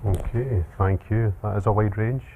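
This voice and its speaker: A man with a Scottish accent giving a relaxed academic seminar talk with frequent ums and uhs. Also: fairly low pitch, moderate pace, slight background noise. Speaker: lecturer. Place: seminar room. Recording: tinny phone-like sound.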